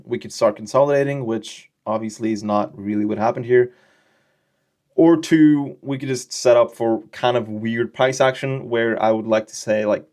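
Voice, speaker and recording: A young man with a slightly low voice teaches trading in a relaxed, conversational way. He explains loosely and keeps checking in with his listener. He drops slangy, joking asides as he goes. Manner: loose, chatty explaining with frequent check-in questions. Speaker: trading educator. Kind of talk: casual screen-share trading lesson.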